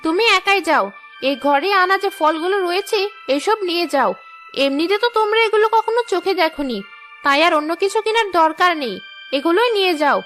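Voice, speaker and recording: A cartoon mother-in-law played by a woman with a piercingly high voice. She is haughty and contemptuous, forever ordering people about. Light music plays behind her. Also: lively intonation, a little fast, fairly bright tone. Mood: scornful, bossy. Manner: bossy, contemptuous. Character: haughty, scolding mother-in-law of a landlord household. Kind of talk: cartoon dialogue dub.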